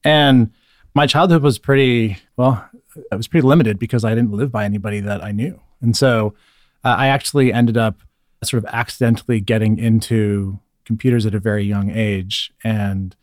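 A man's voice: on the low side, fairly even intonation, moderate pace, a little mellow, dry recording, studio quality. A middle-aged man with an American accent chatting casually as a podcast guest, joking and informal. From born in Germany, spent early childhood in Scotland, raised in Northern California. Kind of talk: casual chat.

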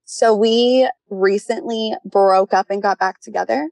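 Young female caller, medium-pitched, casual and conversational personal storytelling, dry recording with no room sound. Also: steady tone, unhurried, creaky, a little mellow, no echo. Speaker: caller seeking relationship advice.

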